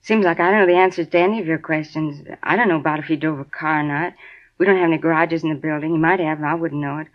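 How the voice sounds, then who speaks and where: A woman's voice: deep voice, fast, close to an everyday voice. An actress playing a chatty, flustered middle-aged woman who manages an apartment building. She rambles, sounds defensive and repeats herself, in a 1950s radio drama. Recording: dull lo-fi 1950s radio broadcast.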